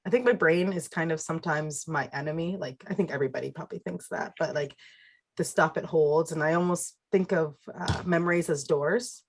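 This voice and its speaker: Low husky-voiced woman, reflective. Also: fairly quick. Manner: reflective, talks in imagery. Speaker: podcast guest. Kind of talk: casual interview conversation.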